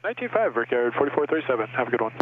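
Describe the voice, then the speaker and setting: Male airline pilot, fast readbacks, narrow tinny radio sound. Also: mid-range voice, big pitch swings. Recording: tinny phone-like aviation radio, faint noise.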